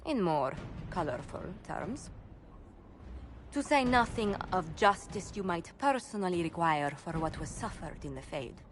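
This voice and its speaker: An actress playing a poised court adviser in a video game, speaking in a formal, measured and diplomatic way. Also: female voice, fairly low pitch, expressive, background noise. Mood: formal, composed. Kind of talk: video game dialogue acting.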